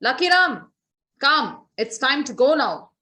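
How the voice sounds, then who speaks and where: A woman voicing a stern, impatient male god of death who shouts commands. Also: high voice, big pitch swings, measured pace, exaggerated voice. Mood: commanding. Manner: commanding, then frantic shouting.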